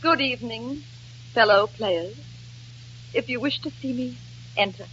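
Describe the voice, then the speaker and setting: A woman's voice: sits high, very animated, normal speed, a bit bright. A woman playing a melodramatic, easily wounded amateur actress with grand, declamatory delivery in old-fashioned comedy style. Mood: wounded dignity. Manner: declamatory, overwrought. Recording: dull, noisy old broadcast recording.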